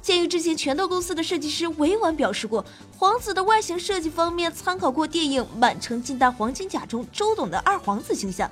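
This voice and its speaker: A woman's voice: very high voice, animated, brisk, few pauses, fairly bright tone. A woman host reading scripted gaming narration in a playful, dramatic storytelling manner. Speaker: game show host and streamer. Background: background music.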